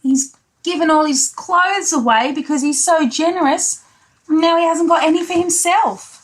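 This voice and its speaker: A woman's voice: very high voice, moderate pace, poor audio. A woman reading a picture book to young children as a pre-school teacher, adding simple asides about the pictures. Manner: child-directed, with tag questions and commentary on pictures.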